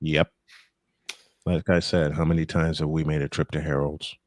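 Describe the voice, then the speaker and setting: A man's voice: very deep voice, level tone, normal speed, darkish tone, no room sound. An American man doing live baseball play-by-play mixed with casual banter. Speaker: play-by-play commentator.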